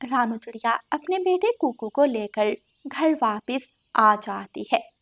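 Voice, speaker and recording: Female narrator for scripted kids' stories, high register. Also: expressive, slow, very noisy. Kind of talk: scripted children's story narration.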